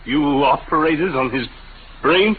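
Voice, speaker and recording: A man's voice: fairly high pitch, a little slow, natural voice. An actor playing an anguished, defiant man resisting robot rule, with urgent, emotional delivery in 1950s radio drama style. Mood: indignant, anguished. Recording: noisy, narrow-band 1950s radio recording.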